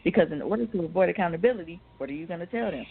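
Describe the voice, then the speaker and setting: A woman's voice: fairly low pitch, expressive, very fast, gravelly, a little hiss or hum. A woman co-hosting a casual discussion, speaking in an assertive, outspoken and slangy way. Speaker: radio show co-host. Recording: tinny, band-limited phone-line sound.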